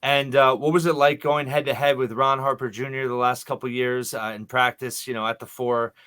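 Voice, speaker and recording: A man's voice: mid-range voice, level tone, brisk, sharp tone, clean tone. Man hosting a conversational sports podcast. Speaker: podcast host.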